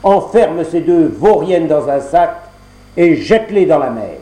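A man voicing a furious young prince who shouts harsh orders, in an echoey room. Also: a little high, big pitch swings, a little slow, pitched above the performer's own voice.

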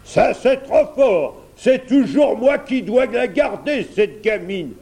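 A man's voice: high-pitched, animated, slow, mellow, pitched above the performer's own voice, full character voice. A man voicing a grumpy, bored, princess-eating dragon in a children's storybook, roaring and complaining. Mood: indignant grumbling.

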